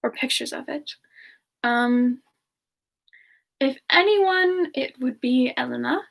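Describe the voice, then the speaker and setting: A woman doing a semi-ASMR live question-and-answer chat in character, musing aloud in a halting, giggly manner. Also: sits high, creaky, fairly bright tone. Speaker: livestream host in character, answering viewer questions.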